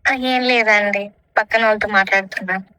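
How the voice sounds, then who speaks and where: A woman's voice: mid-range voice, fairly even intonation, quick pace, sharp tone, smooth, no room sound. A woman making a phone call in polite, businesslike customer-service patter as a restaurant employee. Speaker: prank caller posing as restaurant staff.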